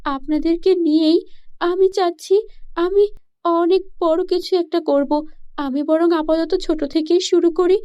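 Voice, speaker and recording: Woman voicing an eager high-pitched young daughter-in-law. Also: fairly even intonation, a little slow, dark tone, muffled recording. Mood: earnest, determined. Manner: pleading, earnest. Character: eager, hopeful young daughter-in-law who designs bags.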